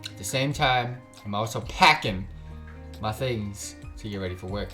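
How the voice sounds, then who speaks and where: A man's voice: fairly low pitch, normal speed, sharp tone, soft background music. A man live-streaming a game, chatting casually in a joking, sweary, slangy way and reading text aloud with stumbles.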